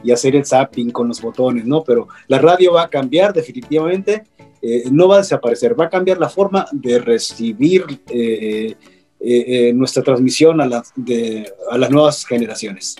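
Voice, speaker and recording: A middle-aged man with a Mexican Spanish accent talks on a radio panel. He hesitates and fills his pauses as he reflects. His voice sits at an ordinary pitch, with soft music playing faintly behind him. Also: husky. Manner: hesitant, many fillers. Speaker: radio broadcaster guest. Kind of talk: panel conversation.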